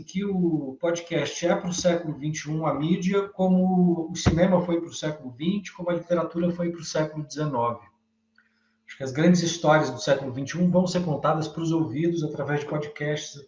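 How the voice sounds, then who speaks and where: A Brazilian man giving thoughtful, halting commentary in a class discussion, thinking aloud as he goes. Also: on the high side, a little mellow. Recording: echoey room, dull lo-fi sound.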